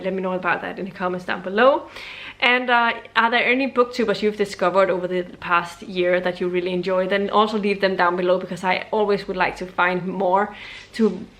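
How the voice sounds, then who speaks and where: Casual danish-accented woman vlogging about books, hesitant, restarts a lot, middle pitch. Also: a bit bright. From Denmark. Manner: hesitant, many ums and restarts, deliberately slow. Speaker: book vlogger. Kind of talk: casual chat to camera.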